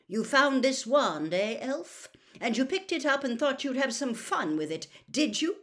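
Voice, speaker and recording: A woman's voice: high voice, very animated, a little slow, fairly bright tone, voice pushed up, full character voice. A woman voicing a stern, blustering male wizard official in an audiobook, accusing and interrogating in a barking manner. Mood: menacing.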